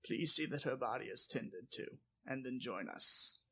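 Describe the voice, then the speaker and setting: A man playing a frail, aged king and father in an audio drama, speaking softly, formally and with measured gravity. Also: medium pitch, lively intonation, vocal fry, breathy edge, exaggerated voice. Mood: grave, focused. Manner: soft-spoken, measured, formal. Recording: music bed behind the voice.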